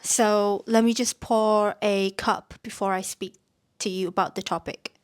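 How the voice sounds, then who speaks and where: A woman's voice: medium pitch, steady tone, a little slow, smooth. A woman from Myanmar hosting a casual podcast, sounding nasal as she gets over a cold, rambling with many "like" fillers. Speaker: podcast host. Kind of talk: casual chatty podcast talk.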